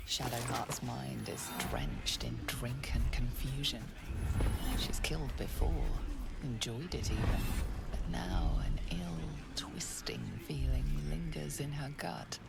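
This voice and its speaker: A seductive, ominous woman narrator in a dark fantasy game. She speaks slowly, with a creaky edge and hushed drama. Music plays behind her. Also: extremely low pitch, animated, full character voice. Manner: slow, hushed, dramatic delivery. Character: ominous, seductive storyteller of dark inner thoughts.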